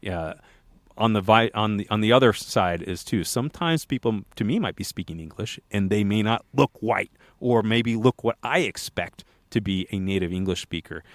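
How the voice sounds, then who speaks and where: A man's voice: on the low side, animated, normal speed, dry recording. A man co-hosting a podcast, chatting casually and rambling with many fillers and restarts. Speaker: podcast co-host.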